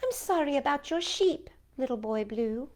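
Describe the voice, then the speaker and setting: A woman reading the part of a sweet, carefree young shepherdess from a nursery rhyme in lilting, measured verse. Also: high-pitched, lively intonation, a little mellow.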